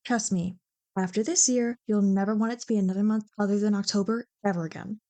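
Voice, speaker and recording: A woman playing a playful, teasing young childhood friend who loves Halloween, in an animated, bantering ASMR roleplay. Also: mid-range voice, quick pace, natural voice. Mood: enthusiastic, teasing. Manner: animated, bantering, mock-exasperated. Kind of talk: ASMR roleplay, one-sided scripted conversation.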